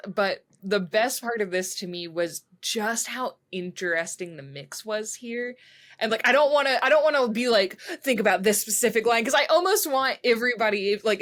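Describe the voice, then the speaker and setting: A woman speaks at a middle pitch in a casual review conversation. She is effusive, piecing her thoughts together aloud as a co-host would. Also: a bit bright. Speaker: podcast co-host. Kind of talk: casual music review chat.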